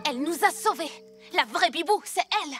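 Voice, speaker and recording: High-pitched brave boy hero. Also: lively intonation, a little fast. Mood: earnest. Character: brave young boy superhero team leader, determined and earnest. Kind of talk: French animated series dubbing. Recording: loud music bed behind the voice. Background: loud cartoon music.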